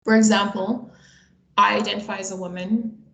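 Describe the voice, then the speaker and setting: A woman in her twenties from Bosnia and Herzegovina giving a scripted webinar presentation in a measured, explanatory way, occasionally stumbling over a word. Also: mid-range voice, little pitch movement, vocal fry, fairly bright tone. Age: 20s. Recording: roomy, echoey sound.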